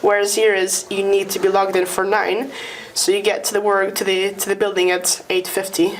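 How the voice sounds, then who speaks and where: A young woman from England talking casually as an interview guest, telling her story in a lively way and checking in with "right?". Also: mid-range voice, moderate pace, a little hiss or hum, rough recording. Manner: lively storytelling with tag questions. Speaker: guest employee.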